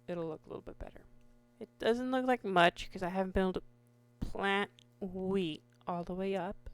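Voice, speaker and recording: A woman with a hoarse voice giving casual, meandering commentary over her Minecraft gameplay, restarting sentences often. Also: lowish voice. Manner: slow, meandering, with many restarts and fillers. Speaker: Minecraft YouTuber.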